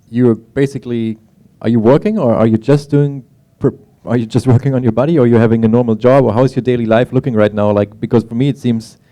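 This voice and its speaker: A man moderating a panel, asking casual questions in an informal, halting way, often rephrasing as he goes. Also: mid-range voice, dark tone.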